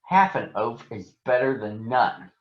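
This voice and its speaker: A man's voice: medium pitch, measured pace, close to an everyday voice. A man voicing a big swaggering bully bear in a storybook, with a mocking, taunting delivery. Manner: taunting, sneering. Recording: roomy echo, dull lo-fi sound.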